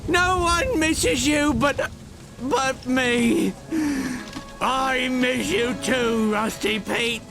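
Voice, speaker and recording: An actor playing an eccentric, sentimental pirate in a video game cutscene, with melodramatic old-time pirate talk. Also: male voice, very high voice, very slow, over music, exaggerated voice, rough recording. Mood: mournful, doting. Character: high-voiced lonely eccentric pirate with a sentimental streak.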